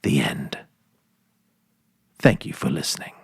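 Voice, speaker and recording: A man's voice: slow pace, dry recording, studio quality. A man giving a dramatic, theatrical reading of a scripted story as storyteller and host.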